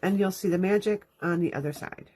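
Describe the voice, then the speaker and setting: A woman's voice: fairly low pitch, fairly quick, background noise. A woman hosting a live card-making demonstration from her craft room, stuffy and sniffling from allergies, chatting through each step as she works. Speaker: crafting host. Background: dog dragging its bed around.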